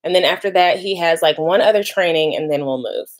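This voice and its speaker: A woman's voice: on the low side, a little fast. Chatty thirties woman with a lilt. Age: 30s. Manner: chatty, lots of "like" and "y'all", laughs easily. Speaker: vlogger doing a live stream. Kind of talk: casual live stream chat, reading comments.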